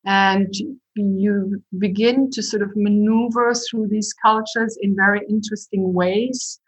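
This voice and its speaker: A middle-aged woman being interviewed, who talks in a measured, reflective way. Her voice sits at an ordinary middle pitch, and she weighs her words with frequent "sort of" and short pauses. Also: steady tone, husky, low-quality recording. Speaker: guest, university president.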